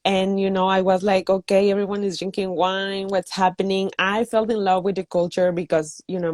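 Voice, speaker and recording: A woman's voice: fairly low pitch, darkish tone, dry recording. A woman from northeast Mexico, a native Spanish speaker, talking casually as an interview guest. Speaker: interview guest, wine community founder.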